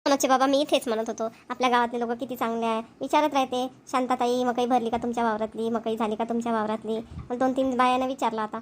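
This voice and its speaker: Woman playing high-voiced village grandmother Shanta. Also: level tone, fast, hardly any pauses, natural voice. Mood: homely, practical. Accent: rural Maharashtrian (Varhadi). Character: Shanta, doting village mother-in-law and grandmother, chatty farmer's wife. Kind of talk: Marathi cartoon comedy voice acting.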